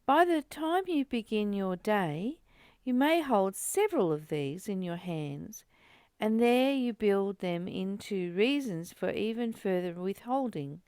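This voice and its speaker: A woman reading aloud and explaining a spiritual text as the host of a study group, in a deliberate, informal teaching way. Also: medium pitch, animated, a little mellow, clear, resonant tone, dry recording.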